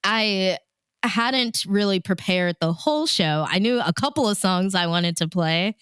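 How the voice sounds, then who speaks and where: A woman's voice: medium pitch, unhurried, fairly bright tone, clear, resonant tone, no echo, studio quality. A woman chatting casually and telling stories in the manner of a radio DJ, animatedly acting out her own thoughts as she goes. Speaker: radio DJ and host, interview guest. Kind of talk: casual conversational storytelling.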